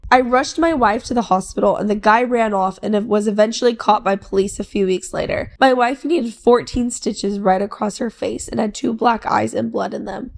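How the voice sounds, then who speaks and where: A woman's voice: medium pitch, gravelly. A woman co-hosting a casual podcast, reading a long online post aloud in a playful, easygoing way. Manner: playful, reads posts aloud at a steady clip. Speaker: podcast co-host.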